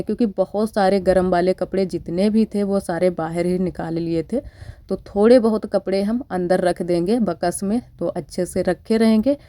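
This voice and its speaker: A young woman talks through her household chores in a relaxed, chatty way. Her voice is fairly low and mellow, a little thickened by a cold, and it is recorded close and dry with no room sound. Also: dry recording. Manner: chatty, homely, repeats fillers. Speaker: village housewife vlogger. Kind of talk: casual narration over daily chores. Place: village home.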